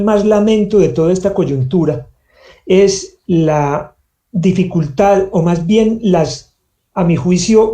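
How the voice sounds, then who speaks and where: A man giving a formal, reflective academic commentary as a panelist, pausing mid-phrase. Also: fairly high pitch, warm tone, reverberant.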